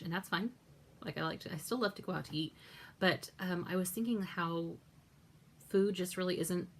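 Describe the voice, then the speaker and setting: A woman with a raspy voice, chatting casually in a personal vlog and rambling with many fillers and self-corrections. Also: deep voice, a little hiss or hum. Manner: rambling, self-correcting, lots of likes and ums. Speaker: vlogger. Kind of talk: casual chat.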